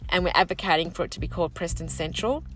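A woman's voice: on the low side, fast, slight background noise. A middle-aged woman, a local councillor whose first language is Sicilian, talking at length in a casual podcast conversation. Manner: animated, talks in long run-on stretches.

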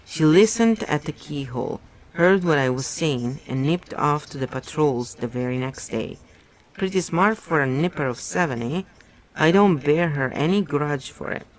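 A man's voice: on the high side, lively intonation, moderate pace, lightly acted, low-quality recording. A man reading aloud and voicing a pudgy, frightened middle-aged prisoner who pleads eagerly and almost blubbers. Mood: proud.

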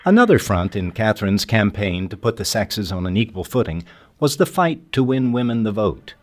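A man giving scripted documentary narration in a measured, articulate way. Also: on the low side, sing-song, a little hiss or hum. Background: music.

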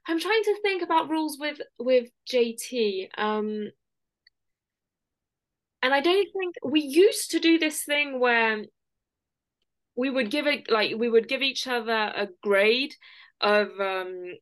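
A woman's voice: high voice, lively intonation, slow, pauses often. A woman co-hosting a podcast, speaking in casual, unscripted chat. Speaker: podcast co-host.

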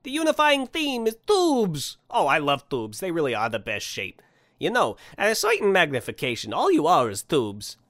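A man's voice: on the high side, wildly swooping pitch, moderate pace, fairly bright tone, no room sound, studio quality, voice pushed up. A man voicing a jolly, eccentric Santa for a story, folksy, brash and cajoling. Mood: gleeful. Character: jolly eccentric Santa, brash, pushy, tube-obsessed wish granter.

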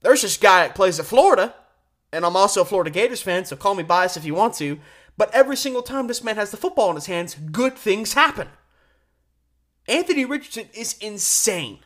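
Guy doing solo sports radio commentary, super animated pitch swings, opinionated and punchy, pauses for effect. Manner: opinionated, emphatic, rhetorical pauses and questions.

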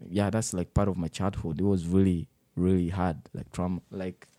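A man's voice: low-pitched, level tone, normal speed, dark tone, dry recording, clean studio sound. A young man in his twenties from the Congo, raised in Uganda, telling his life story in an interview with many fillers and restarts. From Democratic Republic of the Congo, raised in Uganda. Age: 20s.

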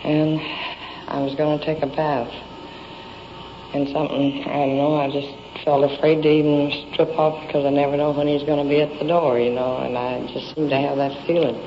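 A woman giving a statement to police, plainly recounting events step by step in colloquial, rambling speech. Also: sits low. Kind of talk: unscripted, plain step-by-step account. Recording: dull, noisy old field recording.

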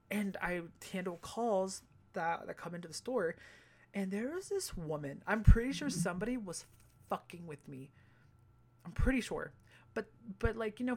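A young man with a high-pitched voice, telling stories in a casual monologue, animated, swearing freely and tossing in sassy asides. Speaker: vlogger.

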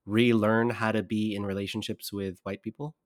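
A man co-hosting a podcast, listening closely and asking thoughtful follow-up questions in a relaxed conversational way. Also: fairly low pitch, moderate pace, dry recording, studio quality. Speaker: podcast co-host. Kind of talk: casual interviewing conversation.

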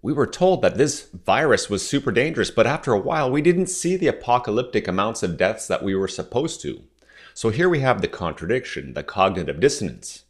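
A man in his forties from Southern Ontario, a native French speaker, hosting a podcast and delivering a measured, questioning, persuasive solo monologue. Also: fairly low pitch, big pitch swings. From Southern Ontario, Canada. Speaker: podcast host.

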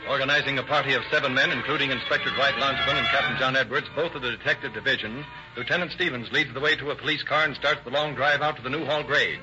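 A man as an urgent crime-story narrator, talking fast in scripted vintage radio style. Music plays clearly behind the muffled recording. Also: medium pitch, fairly even intonation, close to an everyday voice. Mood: dramatic. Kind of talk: scripted dramatic radio narration, 1930s-40s.

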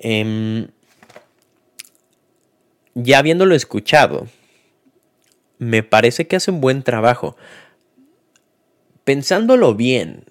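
A man's voice: mid-range voice, sing-song, takes it slowly, long pauses. A man giving a casual spoken film review, hesitant, with many fillers and restarts.

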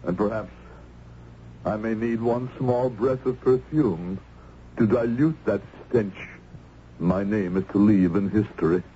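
A male actor playing a stern, righteous colonial gentleman with formal, archaic speech in a radio drama. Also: fairly low pitch, unhurried, natural voice. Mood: grave, resolute. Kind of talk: 1970s radio drama acting. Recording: dull old radio broadcast, faint noise.

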